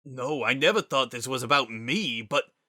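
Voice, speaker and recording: A man acting Sans, emotionally raw wisecracker, bright voice. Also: medium pitch, normal speed, no room sound, studio quality. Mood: pleading, upset. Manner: slangy, informal, emotionally raw. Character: Sans, a wisecracking man pleading desperately, worn down by grief.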